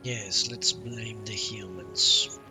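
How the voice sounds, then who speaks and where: A man's voice: fairly low pitch, fairly even intonation, extremely slow. A man streaming a game with casual, offhand, sardonic commentary, reading dialogue choices aloud. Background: game music.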